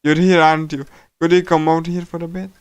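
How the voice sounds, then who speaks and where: Higher-pitched man, halting livestream reader. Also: level tone, smooth, dry recording. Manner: halting, repeats and stumbles while reading. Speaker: streamer. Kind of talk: casual game livestream commentary and reading aloud. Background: game music.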